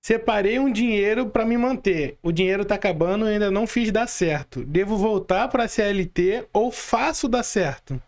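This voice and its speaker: A man's voice: high-pitched, normal speed, few pauses. A Brazilian man speaks as a podcast host. He puts questions to a guest in a casual, conversational interview style.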